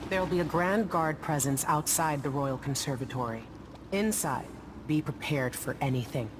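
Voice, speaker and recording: A woman's voice: low voice, moderate pace, noisy background, close to an everyday voice. An actress playing a guarded, secretive woman ship captain in a video game, talking in terse, matter-of-fact phrases. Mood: guarded, businesslike.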